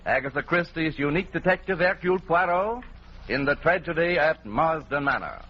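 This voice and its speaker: A man's voice: a little high, expressive, moderate pace, slightly rough. A man playing a polished announcer and host of a mystery show, in scripted 1940s radio style. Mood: polished, dramatic. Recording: dull old radio recording. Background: music.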